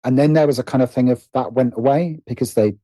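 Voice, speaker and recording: A man's voice: mid-range voice, fast talker, warm tone, no echo. A British man telling personal stories conversationally as an interview guest, hesitant and often interrupting himself as he thinks aloud.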